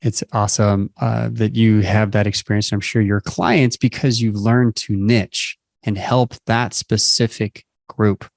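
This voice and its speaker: A man's voice: lowish voice, flat delivery, unhurried, vocal fry, few pauses, dry recording, clean studio sound. A man hosting an interview show, introducing and addressing his guest in a conversational presenter's style. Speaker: show host.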